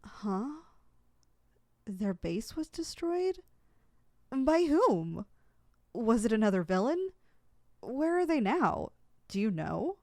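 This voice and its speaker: A woman's voice: fairly high pitch, very animated, slow pace, long pauses, warm tone, no echo, clean studio sound, full character voice. A woman playing a proud but easily flustered, lovesick young supervillain in an affectionate, dramatic ASMR roleplay. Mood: curious, concerned.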